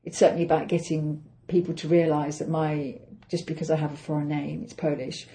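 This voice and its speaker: A woman's voice: deep voice, little pitch movement, fairly quick, mellow. A middle-aged English woman, an actress, talking through her week on a casual solo podcast in a chatty, self-deprecating way, thinking aloud as she goes. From England.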